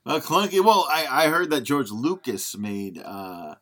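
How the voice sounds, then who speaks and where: A man's voice: medium pitch, big pitch swings, measured pace, pushed and bright. A man co-hosting a podcast, chatting about film in a joking, conversational manner. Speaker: podcast co-host. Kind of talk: casual film discussion.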